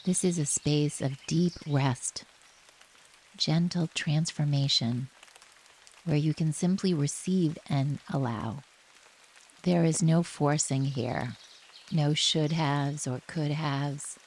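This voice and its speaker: Slow, gentle woman guiding meditation over rain. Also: low voice, low-quality recording. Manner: gentle, soothing, drawn-out pauses between short phrases. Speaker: yoga nidra guide. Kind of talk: guided relaxation meditation. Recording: steady rain noise behind the voice. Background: rain ambience.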